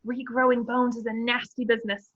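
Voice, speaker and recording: A woman's voice: fairly high pitch, level tone, a little fast, a bit bright, highs cut off. A woman voicing a stern, bustling older school nurse who scolds grimly. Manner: scolding and grim.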